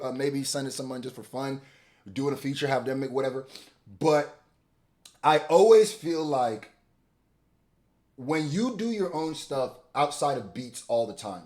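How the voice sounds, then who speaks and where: A young man, a rapper, talking casually as he reacts to a song, repeating words as he restarts and now and then bursting out loud. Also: mid-range voice. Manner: loose and chatty, repeats words when restarting, sudden loud outbursts.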